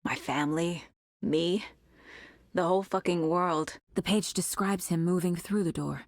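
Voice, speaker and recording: An actress playing a determined, wry federal agent investigating supernatural crimes in a video game, measured and matter-of-fact. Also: female voice, lowish voice, steady tone, dry recording, clean studio sound. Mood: focused. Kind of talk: scripted game dialogue, investigative monologue.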